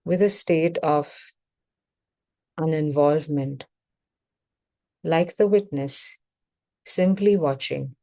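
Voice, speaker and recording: A woman leading a guided meditation as facilitator, speaking in measured short phrases with pauses between them. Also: sits low, gravelly, dark tone, no echo.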